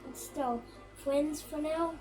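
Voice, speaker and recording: A woman's voice: high voice, fairly even intonation, slow pace. A woman chatting casually over video game play. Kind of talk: casual chat over gameplay. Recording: distant mic, music behind.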